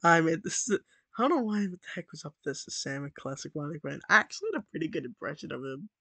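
A man's voice: fairly high pitch, expressive, quick pace, creaky, no room sound. A boy in his early teens rambling casually through commentary over a screen recording, full of "like" and "dude". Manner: rambling, distracted, lots of "like" and "dude". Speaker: channel host. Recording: loud background music.

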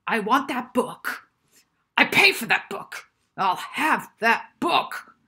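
A woman reader plays a furious, bullying professor in a children's storybook. The voice booms and rasps, forceful and sharp, barking aggressive demands like orders. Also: very animated, slow pace, full character voice. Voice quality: booming. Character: belligerent, bullying, ugly middle-aged male professor, booming.

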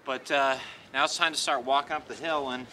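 A man's voice: mid-range voice, steady tone, brisk, pushed and bright. A young man chatting casually to camera as a vlogger, in an offhand, hesitant way. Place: outdoors in snow by a roadside. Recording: very noisy outdoor camera audio.

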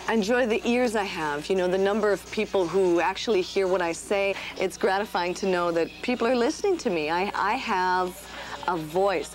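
A woman in her thirties, a radio disc jockey, chatting casually on a TV panel and talking with her hands. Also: mid-range voice. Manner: animated, talks with her hands. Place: TV studio set.

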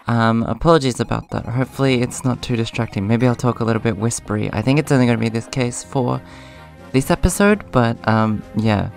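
A man with a hoarse voice casually hosting a let's play, rambling a little and reading game dialogue aloud in a subdued way. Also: mid-range voice, a little fast. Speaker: gaming video host. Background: video game music.

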